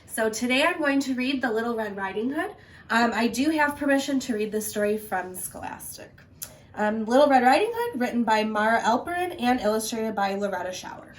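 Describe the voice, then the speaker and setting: A woman teacher reading a children's story aloud in an animated narrating style. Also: on the high side, brisk, recorded from a distance.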